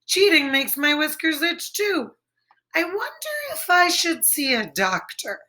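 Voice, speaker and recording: A woman's voice: sits high, sing-song, unhurried, pushed and bright, slight background noise, voice pushed up, heavily acted. A woman voicing an earnest, fretful male rabbit in a children's storybook, with an emphatic, childlike delivery. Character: earnest, fretful male rabbit worried about new otter neighbors.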